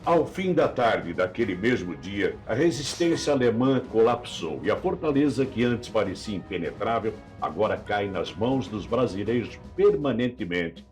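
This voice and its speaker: A man's voice: on the low side, big pitch swings, moderate pace, hardly any pauses, darkish tone. A man with a Brazilian accent narrating a scripted documentary in a solemn, dramatic, rhetorical manner. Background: background music.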